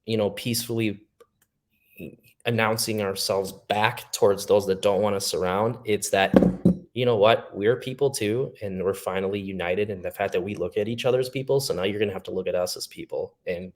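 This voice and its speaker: Earnest, low-voiced male podcast guest. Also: a little fast. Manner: earnest, reflective, optimistic. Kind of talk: casual podcast chat.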